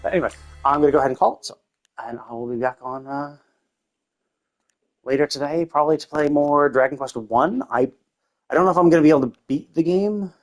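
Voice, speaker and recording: A man's voice: a little high, fairly quick, pauses often, soft background music. A man chatting casually on a gaming livestream in a rambling, conversational way, with loose, casually profane talk. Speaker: live streamer.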